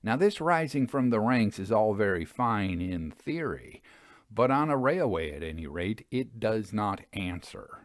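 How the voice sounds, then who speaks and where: A man narrating in a literary storytelling style, with measured, deliberate phrasing and emphatic stresses. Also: lowish voice, sing-song, dry recording, clean studio sound. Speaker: narrator reading ghost stories aloud.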